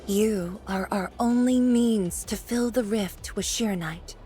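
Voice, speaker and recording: A woman voicing a caring, composed female leader in a video game, speaking in a formal, gentle, deliberate way. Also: medium pitch, a little hiss or hum, lightly acted. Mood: gentle, concerned.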